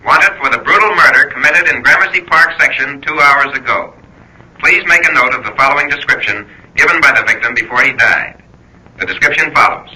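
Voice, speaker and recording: A formal police-bulletin announcer in 1940s radio style. His voice is extremely sharp and forceful with a husky grain, and every phrase is clipped and official. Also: medium pitch, a little fast, rough recording. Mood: official, urgent.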